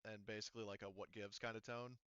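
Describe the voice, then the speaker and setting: A man refereeing a tabletop game as game master in a casual, halting way, thinking aloud as he goes. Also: on the low side, little pitch movement, a bit bright, clear, resonant tone, no room sound, dull audio.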